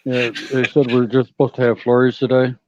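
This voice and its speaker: A man joins a relaxed live stream chat and throws in dry, teasing jabs. His voice comes through slightly dull and lo-fi. The sound is close and dry. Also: medium pitch, a little fast, no echo.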